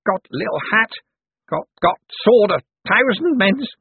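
A man's voice: a little high, big pitch swings, normal speed, phone-quality sound, slight background noise, voice pushed up. Man acting a slurring, deranged rich villain. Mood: delirious slurring. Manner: slurred, thick-tongued, strained. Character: deranged, sick, swaying rich villain, grandiose and paranoid.

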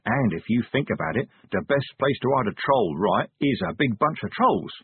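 Tinny audio, man acting slow-witted troll loser, husky, slangy, swooping pitch, fantasy audiobook. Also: lively intonation, no echo. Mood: muddled reasoning. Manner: slangy, plodding reasoning. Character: dim-witted, drug-addled young male troll loser. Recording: tinny, narrow-band radio-like audio.

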